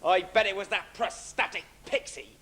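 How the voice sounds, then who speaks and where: High-pitched blustering pirate captain villain. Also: male voice, normal speed, pushed and bright. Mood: bombastic. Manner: theatrical, bellowing, plays to the audience. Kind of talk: amateur stage pantomime acting. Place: stage of a live student pantomime. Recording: noisy live stage recording.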